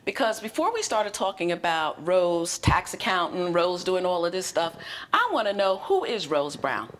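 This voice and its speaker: A woman's voice: lowish voice, big pitch swings, normal speed, a little hiss or hum. A woman hosting a talk show, bubbly and enthusiastic as she chats with her guest. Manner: bubbly, enthusiastic, laughs often. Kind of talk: upbeat interview chat.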